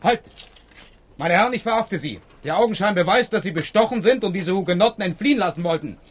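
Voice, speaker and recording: An actor playing a commanding palace officer in a radio play. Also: male voice, high voice, expressive, fast talker, breathy edge. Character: brisk commanding palace officer making an arrest. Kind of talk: 1970s radio play acting.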